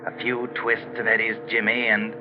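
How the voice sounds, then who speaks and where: An actor playing a sardonic, ominous narrator of a 1940s radio mystery. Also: male voice, fairly low pitch, level tone, moderate pace, loud music behind the voice, lightly acted. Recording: muffled 1940s radio recording.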